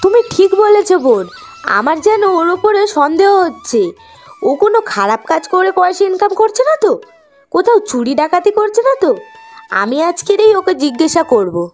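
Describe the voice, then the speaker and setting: A woman's voice: very high voice, sing-song, normal speed, faint music behind. A woman voicing a suspicious, fretful young village wife in a cartoon story. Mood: worried suspicion. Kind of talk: cartoon voice acting.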